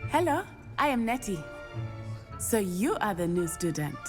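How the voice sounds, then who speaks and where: A welcoming teenage witch in a fantasy game. Her mid-range voice is friendly and very unhurried, each phrase set out with care. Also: mid-range voice, sing-song, soft background music. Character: friendly, welcoming teenage girl student at wizard school. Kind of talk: video game character acting.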